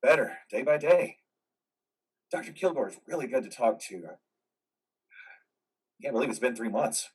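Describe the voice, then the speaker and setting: A man's voice: mid-range voice, animated, moderate pace, long pauses, husky, far from the mic. A man playing a troubled, defiant war veteran in psychiatric care, earnest and naturalistic in a dramatic play reading. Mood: calmer, hopeful.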